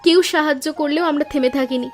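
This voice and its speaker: A woman's voice: high-pitched, fairly even intonation, fast talker, natural voice. A woman voicing a hardworking young flower-seller sister in a cartoon moral story, earnest, respectful and grateful. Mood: hopeful, proud. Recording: music under the voice.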